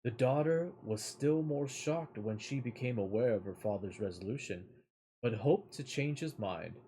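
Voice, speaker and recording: A man reading a fairy tale aloud as an amateur storyteller, sometimes halting over the words. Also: mid-range voice, lively intonation, warm tone, a little hiss or hum, rough recording.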